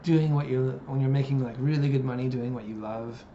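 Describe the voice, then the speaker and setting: Man, late thirties, reflective vlogger, hesitant fillers, dull lo-fi sound with steady background noise. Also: medium pitch, fairly quick. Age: 30s. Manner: musing, hesitant, many fillers. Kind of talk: reflective personal monologue. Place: living in Japan.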